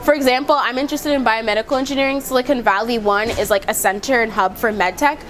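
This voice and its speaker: A young woman with a fairly high voice talks casually as a student being interviewed. A faint wash of ambient noise is behind her. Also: fairly even intonation, fast. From immigrant to the United States. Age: young adult. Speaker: university student.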